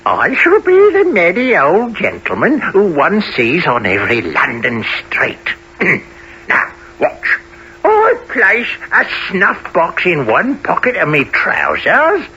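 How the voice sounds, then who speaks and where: An actor playing a wily, jolly old pickpocket master in a radio play, wheedling and theatrical with plenty of chuckles. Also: male voice, fairly high pitch, pushed and bright, highs cut off, slight background noise, full character voice. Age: older.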